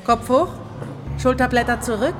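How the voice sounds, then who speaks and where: A woman's voice: on the high side, normal speed, natural voice. An actress playing a firm, caring woman who instructs and scolds a patient in radio play dialogue. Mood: firm, instructing. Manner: direct, instructing. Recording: music behind the voice.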